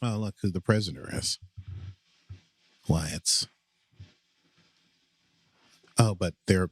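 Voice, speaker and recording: A man's voice: deep voice, measured pace, husky, no room sound. A man co-hosting a podcast, giving playful, goofy watchalong commentary and laughing often. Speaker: podcast co-host.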